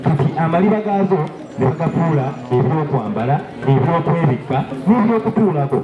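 Ugandan man narrating over loud drums. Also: a little high, lively intonation, quick pace, over loud music. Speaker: cultural presenter. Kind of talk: narrating a cultural dress show. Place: outdoor lawn at a campus gala. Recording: echoey, dull outdoor PA with loud drums.

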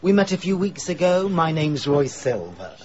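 Cheeky, higher-voiced male sidekick. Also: sing-song, moderate pace, dull audio. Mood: breezy pretence. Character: cheeky, easily piqued man, amateur sleuth's sidekick. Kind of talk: radio drama acting.

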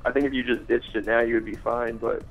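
A man's voice: mid-range voice, little pitch movement, fast, vocal fry, soft background music. A man chatting casually on a phone line in a hesitant, hedging way. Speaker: caller on a chat line. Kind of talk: casual chat. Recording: dull lo-fi phone call.

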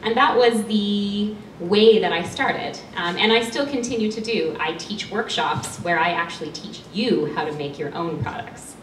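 Canadian lady with a big loud voice talking to a small crowd, emotional and laughing, echoey far-off room. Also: mid-range voice, moderate pace, few pauses. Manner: passionate, emotional, laughs often. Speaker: guest speaker, cosmetics maker. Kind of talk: public talk at a panel event. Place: small studio event room with an audience. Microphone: handheld mic. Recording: roomy sound from a far camera, faint hum.

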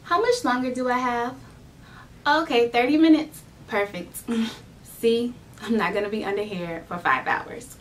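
A woman's voice: fairly high pitch, measured pace, a little hiss or hum. A young woman chatting to camera in a playful vlog, acting out salon moments. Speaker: beauty vlogger. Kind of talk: casual vlog chat to camera. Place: indoors in front of a decorated backdrop wall.

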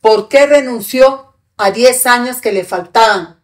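A woman's voice: a little high, a little slow. A woman in her eighties talking as a podcast guest, ranting in a fiery, indignant and profane way, close to a studio microphone. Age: 80s. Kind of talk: heated interview talk. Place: small studio with brick wall and sofa. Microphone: podcast mic on a stand in front.

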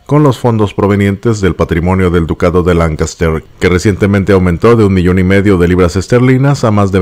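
Deep-voiced man narrating tabloid news. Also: darkish tone. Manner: jumps up in pitch on aside phrases, uses cute diminutives.

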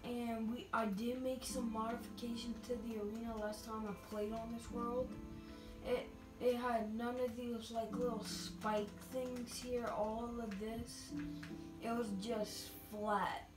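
A distant, echoey recording with music playing behind a woman narrating a video game. Her voice sits fairly high and is slightly scratchy from a recent illness. She comments casually and haltingly, letting thoughts trail off. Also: flat delivery. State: getting over being sick, voice scratchy. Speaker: gamer narrating gameplay.

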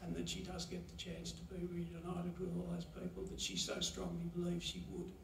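A man gives a halting, pause-filled eulogy for his mother at a chapel lectern. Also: high voice, completely flat delivery, creaky, slight background noise. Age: 70s. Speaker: son giving a eulogy. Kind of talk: memorial eulogy. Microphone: lectern mic, heard from a far camera. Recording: roomy chapel echo from far off.

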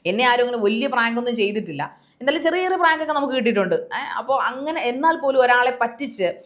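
Woman on a news discussion panel, talks really fast, emphatic, drops in english words. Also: fairly high pitch, animated. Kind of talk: conversational debate commentary.

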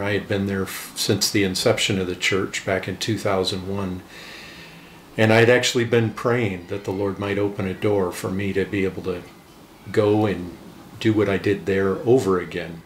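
Emphatic American pastor, low voice. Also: male voice, little pitch movement, moderate pace, echoey room, slight background noise. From United States. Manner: earnest, emphatic, gestures with open hands. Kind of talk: documentary interview. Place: indoor living room.

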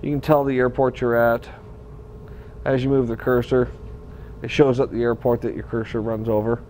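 A man giving hands-on instruction in a workshop, talking viewers through his work in a relaxed, practical way while he works at the bench. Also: medium pitch, normal speed, a little hiss or hum, low-quality recording. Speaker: aircraft builder demonstrating.

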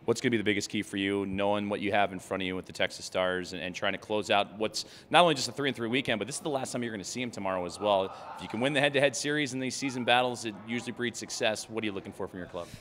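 Bright, fast-talking sports interviewer man. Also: on the low side, a little hiss or hum. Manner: long, stat-filled, upbeat questions. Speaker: team broadcaster interviewer.

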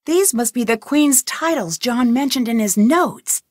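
Curious young woman detective, game voice lines, inquisitive, thinking aloud, fairly high voice, dry close sound. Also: lively intonation, a little slow, darkish tone, no echo. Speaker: game heroine.

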